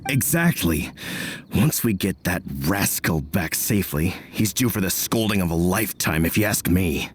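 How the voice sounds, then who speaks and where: A worried, stern old villager. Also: male voice, low-pitched, animated, normal speed, slightly rough. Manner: worried, earnest, old-fashioned phrasing. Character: worried older male villager, stern but caring. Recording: game soundtrack music behind.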